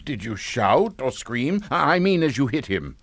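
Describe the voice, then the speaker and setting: A man's voice: mid-range voice, big pitch swings, unhurried, a bit bright, no echo, full character voice. An actor playing a stern, calculating English father in a radio suspense drama, with a clipped, commanding manner.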